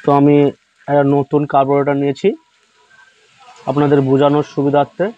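A man talks through a repair, repeating each instruction step by step. His voice sits a little high and stays level, with little rise and fall. The sound is dry and slightly dull, like a phone recording. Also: completely flat delivery, brisk, warm tone, no room sound. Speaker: repair channel host. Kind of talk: hands-on repair tutorial. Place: indoor workbench.

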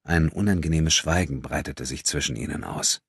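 A man's voice: very deep voice, normal speed, vocal fry, breathy edge, no room sound, clean studio sound. A man reading a novel as a dramatic literary audiobook narrator.

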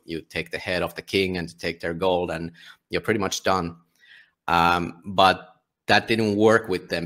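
Casting: male podcast guest from Finland, native Finnish speaker, low-pitched voice, blunt and casual, happy to swear. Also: fairly bright tone. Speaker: podcast guest, volunteer book publisher. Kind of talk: casual interview talk.

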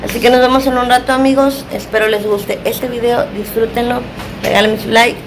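A woman's voice: on the high side, steady tone, fairly quick, music in the background. A woman in her thirties with a Mexican accent, chatting casually to her viewers as a family vlogger. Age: 30s. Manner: chatty, addresses viewers as friends. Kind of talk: casual vlog narration to viewers. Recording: noisy phone recording with background ambience.

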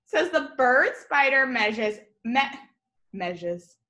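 A young woman reads aloud to children like an elementary teacher. Her voice is fairly high and very bright, and she takes her time with each phrase. She is expressive, slipping informal asides and simple explanations in between the lines of the book. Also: takes it slowly. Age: young adult. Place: room at home, indoors.

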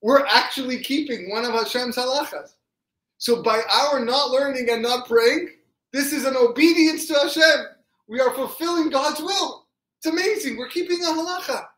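A man's voice: on the high side, expressive, moderate pace, fairly bright tone, pitched above the performer's own voice. A man voicing an earnest, fervent rabbi in a religious story, insistent and repeating key phrases. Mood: amazed, exultant. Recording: echoey room.

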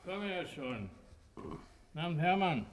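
Obliging, chatty pub landlord. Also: male voice, on the high side, sing-song, slow. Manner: familiar, colloquial. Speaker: actor. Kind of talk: 1960s German radio play acting.

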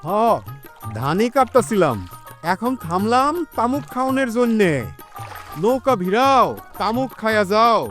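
A man's voice: very high voice, lively intonation, unhurried, fairly bright tone, faint music behind, heavily acted. A man playing an easygoing rice farmer with a rural Bangladeshi accent, calling out sociably in an audio drama. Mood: sociable, inviting. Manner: rustic, calling out. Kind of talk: Bengali audio drama acting.

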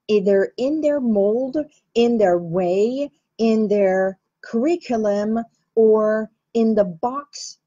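A woman's voice: on the high side, mellow, husky, low-quality recording. A woman with an Armenian accent gives an earnest, thoughtful talk as a healing practitioner and host. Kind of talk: reflective talk to camera.

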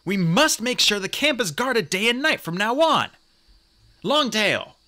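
An earnest young tomcat warrior from an animal storybook, played by a man. He speaks in a bright, higher-pitched voice that swings widely. His delivery is urgent and commanding. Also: sing-song, unhurried, full character voice.

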